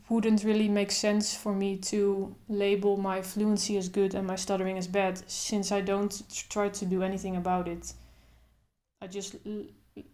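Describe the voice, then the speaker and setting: A young woman who speaks openly with a stutter, repeating first sounds and pausing in blocks, talking thoughtfully to camera in short phrases. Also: mid-range voice, mellow. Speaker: vlogger. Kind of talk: casual talk to camera.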